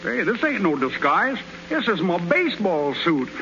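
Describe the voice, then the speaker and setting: An actor playing a brash, boastful and naive young rookie pitcher with folksy slang in a 1930s radio comedy. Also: male voice, big pitch swings, normal speed, heavily acted. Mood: cocky. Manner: cocky, loud, folksy slang. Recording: muffled, noisy 1930s radio broadcast recording.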